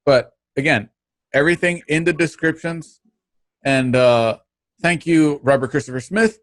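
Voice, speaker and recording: A man hosting an online show, giving a casual, halting sign-off with plugs and thanks. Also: fairly high pitch, no echo. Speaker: host of an online radio drama show.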